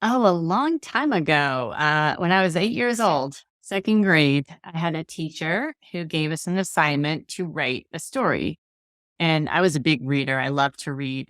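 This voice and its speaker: Middle-aged woman, low voice, dry home-office sound, unhurried author guest. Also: no echo. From Massachusetts. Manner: reflective, relaxed storytelling with soft laughs. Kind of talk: casual interview chat. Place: home room with bookshelves. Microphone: video call.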